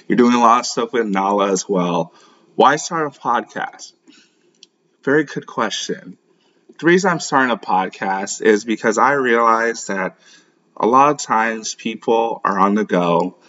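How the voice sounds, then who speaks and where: Low-voiced man, dull lo-fi audio. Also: fairly bright tone. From born in Lagos, Nigeria; grew up in St. Louis, USA. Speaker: podcast host. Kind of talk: casual solo podcast introduction. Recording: dull, muffled lo-fi podcast audio.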